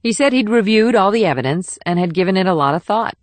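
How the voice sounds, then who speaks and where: A woman's voice: medium pitch, animated, quick pace, no room sound, close to an everyday voice. A woman playing a guarded, world-weary covert operative with an understated manner in an audiobook. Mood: weary, unsure. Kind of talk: audiobook character dialogue.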